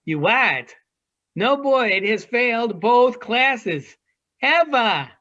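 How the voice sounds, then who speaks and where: A dull, lo-fi recording of a woman playing a worldly seagull in a stage comedy. The bird teases in slow, drawn-out phrases, with pitch that swings widely. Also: sing-song, a bit bright, heavily acted. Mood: matter-of-fact. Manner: teasing, drawn-out delivery. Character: teasing, worldly adult seagull perched high above the city. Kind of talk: amateur virtual one-act play acting. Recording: dull lo-fi video call audio.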